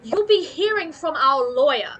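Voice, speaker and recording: A woman's voice: sits high, very animated, normal speed. A woman playing an affronted, entitled middle-aged wife in an audio drama. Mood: outraged. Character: indignant, entitled wife being thrown out. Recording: noisy home recording.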